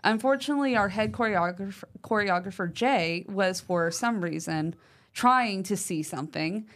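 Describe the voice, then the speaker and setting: A woman co-hosting a podcast talks in a relaxed, chatty way, sprinkling in "like" and "um". She reads listener mail aloud with lively emphasis and keeps an everyday, mid-height voice. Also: mid-range voice, moderate pace. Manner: chatty, uses fillers like "like" and "um". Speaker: podcast co-host.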